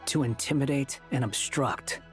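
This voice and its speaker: A man's voice: mid-range voice, moderate pace, close to an everyday voice. Dry, cautious male companion played by a man. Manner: terse, dry, guarded. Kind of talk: dramatic video game voice acting. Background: faint game music.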